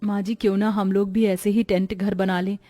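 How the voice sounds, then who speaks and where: A woman's voice: mid-range voice, flat delivery, moderate pace, warm tone, faint music behind, natural voice. A woman voicing a poor, long-suffering, plaintive family woman in a cartoon story. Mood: pleading. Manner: plaintive, lamenting. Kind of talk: Hindi cartoon story acting.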